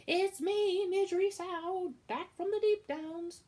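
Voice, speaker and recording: A woman putting on a high-pitched dim servant girl. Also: very animated, vocal fry, a little mellow. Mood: loud eager. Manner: slow, plain-spoken, slangy. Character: dim-witted young servant girl, simple and eager.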